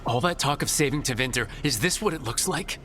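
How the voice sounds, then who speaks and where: A man's voice: mid-range voice, lively intonation, brisk, noisy background, close to an everyday voice. Man as a plain-spoken adventuring leader. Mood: concerned, questioning. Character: steady, plain-spoken male adventurer leading companions. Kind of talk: video game acting.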